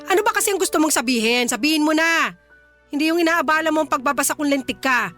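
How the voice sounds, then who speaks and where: A woman's voice: high voice, lively intonation, quick pace, fairly bright tone. An actress with a Filipino accent playing a stern, resentful mother of a grown son, scolding and exasperated in radio drama style. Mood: irritated. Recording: faint music bed.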